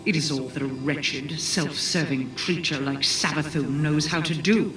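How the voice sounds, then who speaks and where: A woman playing an imperious, wary queen who leads a coven, speaking formally and gravely in a video game cutscene. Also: deep voice, measured pace, fairly bright tone. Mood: grave, distrustful. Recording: echoing, distant voice under music. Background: music.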